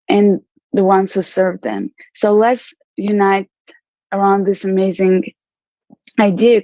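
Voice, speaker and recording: A woman speaks slowly in a level, even tone, pressing her point with earnest urgency and halting now and then on fillers. Her voice is dry and close, on dull, lo-fi audio. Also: mid-range voice, dry recording. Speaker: conference participant sharing a testimony. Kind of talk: unscripted speech to an online audience.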